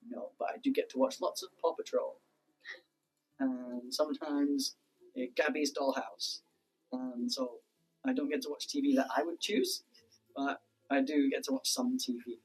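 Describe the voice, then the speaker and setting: Gravelly, husky British man. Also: mid-range voice, normal speed, a little mellow, low-quality recording. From United Kingdom. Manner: cheerful, easygoing, lots of ums. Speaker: teacher being interviewed. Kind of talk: casual interview answers.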